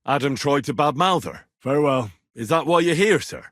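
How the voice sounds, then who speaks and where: An actor playing an earnest, plucky young medieval adventurer in a video game, polite and plain-spoken, with a slightly husky voice. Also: male voice, on the high side, expressive, moderate pace, fairly bright tone, no echo, clean studio sound. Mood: conversational. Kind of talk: video game character dialogue.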